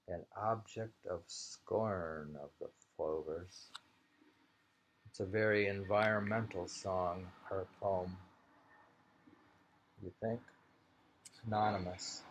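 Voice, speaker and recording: A man reading haiku slowly and commenting on it casually, in an unhurried, musing way. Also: low voice, fairly bright tone, a little hiss or hum. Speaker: reader of a haiku anthology. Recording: dull lo-fi home recording.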